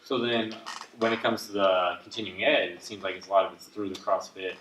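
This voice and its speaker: A man co-hosting a podcast, asking halting questions full of fillers. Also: low-pitched, fairly even intonation, a bit bright. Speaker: podcast co-host. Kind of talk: casual podcast chat. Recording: echoey room, distant mic, faint hiss.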